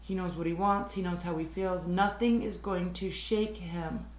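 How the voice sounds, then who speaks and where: A woman reads tarot cards in a raspy, worn-out voice that has been hoarse for days. She talks earnestly, repeats key phrases and slows down on the points she wants to stress. The sound has a noticeable room echo. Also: lowish voice, fairly even intonation. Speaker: tarot reader. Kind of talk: tarot reading to camera.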